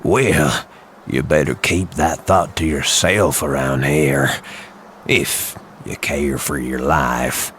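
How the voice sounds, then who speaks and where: Ominous small-town local: extremely deep, dragging delivery, guarded and foreboding, for an animated game. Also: male voice, takes it slowly, slight background noise, exaggerated voice. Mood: quietly menacing. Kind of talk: animated game cutscene acting.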